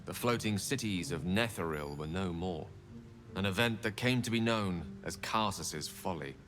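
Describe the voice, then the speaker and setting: An actor playing a scholarly, guilt-ridden wizard in a video game, speaking in an eloquent, measured, theatrical storytelling manner. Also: male voice, low-pitched, fairly bright tone, long reverb tail. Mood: solemn storytelling. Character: wistful, guilt-ridden scholarly wizard man telling his past. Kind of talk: dramatic video game dialogue. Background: music.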